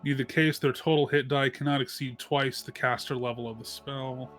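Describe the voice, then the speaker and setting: A man's voice: mid-range voice, flat delivery, normal speed, fairly bright tone, faint music behind. A man bantering casually as a tabletop game player, slangy and joking, swearing freely. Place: online group voice call, livestreamed game.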